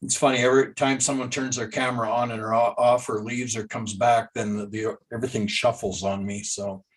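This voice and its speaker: A man chairing an online meeting, relaxed and friendly as he moves through the list. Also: mid-range voice, brisk, husky, far from the mic.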